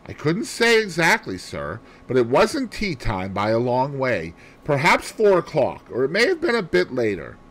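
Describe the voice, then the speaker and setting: Man as audiobook housemaid, raised pitch, lively intonation, indignant, respectful, emphatic, plain-spoken. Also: moderate pace, hardly any pauses. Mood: earnest, respectful. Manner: emphatic, deferential. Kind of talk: audiobook character voice.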